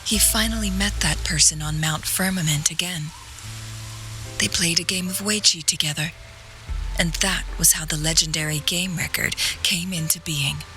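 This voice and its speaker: A woman playing a composed, courteous strategist in a video game, speaking in a poised, measured, formal way with gentle teasing. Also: fairly low pitch, soft background music. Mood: composed, explanatory. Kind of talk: video game story dialogue.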